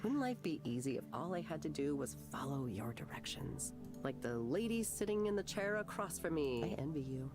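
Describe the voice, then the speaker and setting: A wry weary client, very low voice. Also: female voice, big pitch swings, normal speed. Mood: wistful. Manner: wry, self-mocking. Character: weary young woman counseling client. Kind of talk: video game voice acting. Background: game music.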